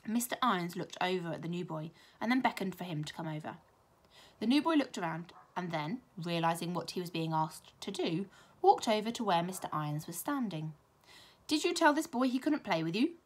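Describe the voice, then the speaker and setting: A British woman, a primary school teacher, reading a children's story aloud with expressive narration. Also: fairly low pitch, a little fast.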